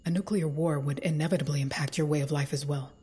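Skeptical woman, deep for a female voice, very breathy husk, analytical, audio drama. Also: fairly even intonation, fast, warm tone. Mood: skeptical, pressing.

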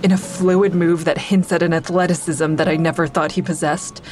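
A woman's voice: lowish voice, little pitch movement, moderate pace, darkish tone, lightly acted. An actress playing a bitter, defiant woman who narrates a confrontation with her former partner in an audio drama. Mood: tense, steely. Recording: music behind the voice.

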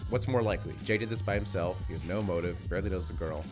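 A man's voice: low voice, racing, darkish tone, soft background music. A man co-hosting a podcast, chatting casually and reasoning aloud in slangy, conversational language. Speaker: podcast co-host.

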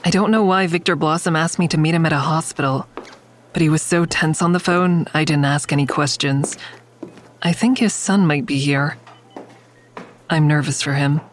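A woman's voice: low-pitched, normal speed, a little hiss or hum, close to an everyday voice. An actress playing an anxious, earnest crisis counselor, telling her story in a hesitant, introspective first-person style for an audio drama. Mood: uneasy, reflective.

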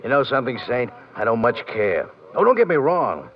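A man's voice: mid-range voice, huge pitch swings, moderate pace. An actor playing a weary, plainspoken aging ballplayer and proud father, resigned and wistful, in an old radio drama. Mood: resigned, friendly. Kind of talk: golden-age radio drama acting. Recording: muffled vintage radio recording.

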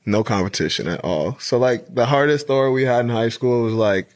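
A man in his twenties from the Bahamas, with a partly Americanized Bahamian accent, telling stories as a podcast guest in a relaxed way, full of "like". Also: mid-range voice, fairly quick. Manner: laid-back storyteller, fills with "like". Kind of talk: casual chat.

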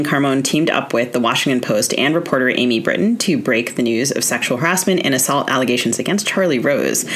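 Female podcast host, American, notably low voice, introduces and interviews guests. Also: slightly rough. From United States, Maryland.

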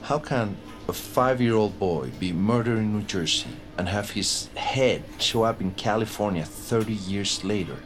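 Actor as a low, slow, weary retired detective. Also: male voice, natural voice. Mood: haunted, grave. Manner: halting, plainspoken, trailing off. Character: haunted, weary retired male police detective, aging. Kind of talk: audio drama acting. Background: music.

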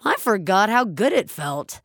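A woman narrator acts out a portly male cop from an audio story, mild and bemused. He talks slowly and plainly, with a lively rise and fall, in a dry, close sound. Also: medium pitch, no room sound. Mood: amused. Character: portly male police officer, drugged, amiable, bemused. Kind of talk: character voice in narrated audio fiction.

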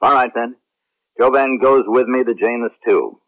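An actor playing a proud, suspicious, challenging space captain in a late-1970s radio drama. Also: male voice, medium pitch, moderate pace, loud music behind the voice, natural voice. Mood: wary, resigned. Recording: muffled, lo-fi vintage radio recording.

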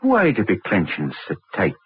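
Pompous professor, wildly swooping pitch. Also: male voice, huge pitch swings, normal speed, slightly rough, full character voice. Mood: furtive, shrewd. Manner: fussy, hesitant, then imperious. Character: pompous, evasive anatomy professor, middle-aged man. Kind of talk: old radio drama acting. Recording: tinny, band-limited vintage radio recording.

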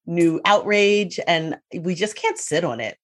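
A woman's voice: fairly low pitch, expressive, a little slow. Emphatic woman podcast host. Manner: emphatic, wry. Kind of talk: casual chat, introducing a guest.

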